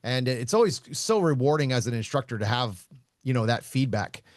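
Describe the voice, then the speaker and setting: A man who hosts a podcast and teaches shooting, talking casually in a loud voice, blunt, laughing often and swearing freely. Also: mid-range voice, expressive, brisk, no room sound, studio quality. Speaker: shooting instructor and podcast host.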